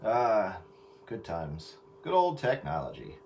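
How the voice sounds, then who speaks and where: A man's voice: low voice, huge pitch swings, takes it slowly, gravelly. A man chatting casually as a participant in a radio round-table. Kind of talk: casual chat. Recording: distant mic, heavy radio noise.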